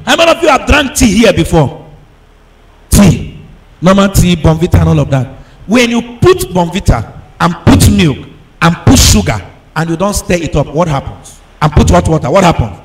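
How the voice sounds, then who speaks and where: Male preacher, high voice with big swings in pitch; emphatic call-and-response sermon delivery, repetitive and exhorting. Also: sing-song, normal speed. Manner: emphatic, repeats phrases, fires rhetorical questions at the congregation; exhorting, breaking into shouts.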